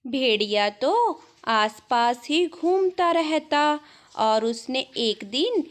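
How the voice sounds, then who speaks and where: A woman's voice: high voice, lively intonation, very slow. A woman narrating a scripted children's story as the storyteller.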